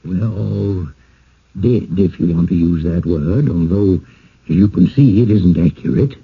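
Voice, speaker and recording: An actor playing a polite, fretful, gently persuasive village host in a radio drama, with courteous old-fashioned phrasing. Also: male voice, low-pitched, a little slow, creaky, slightly rough, full character voice. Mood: gentle, explaining. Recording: muffled, phone-like old radio recording.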